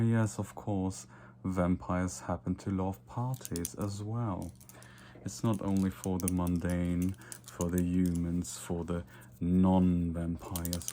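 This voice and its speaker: A man voicing a haughty, domineering yet playful vampire lord in an ASMR roleplay, speaking softly and deliberately with whispered asides. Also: low voice, a little hiss or hum. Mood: haughty, smug.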